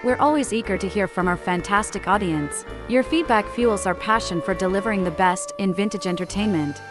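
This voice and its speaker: A woman with a medium-pitched voice speaks over clear background music. She reads scripted promotional narration in the role of a programme announcer. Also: fairly quick.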